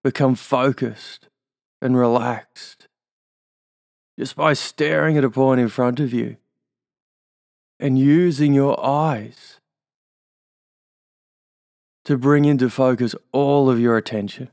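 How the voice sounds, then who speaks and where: A man's voice: mid-range voice, steady tone, takes it slowly, pauses often, darkish tone, no echo, studio quality. A man with an Australian accent leading a guided hypnosis induction, giving soothing suggestions and tag questions.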